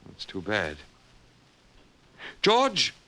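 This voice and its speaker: An American actor playing a wry, bookish captive professor in a 1950s radio drama, with a halting, sardonic delivery. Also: male voice, lowish voice, wildly swooping pitch, full character voice. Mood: dryly resigned. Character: wry, bookish captive male professor, resigned last man. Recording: noisy vintage 1950s radio recording.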